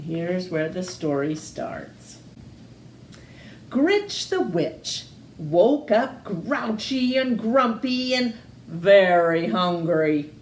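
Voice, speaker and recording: A woman reading a picture book aloud to children in an expressive storytelling manner. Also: medium pitch, slight background noise. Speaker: storytime reader. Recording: echoey room.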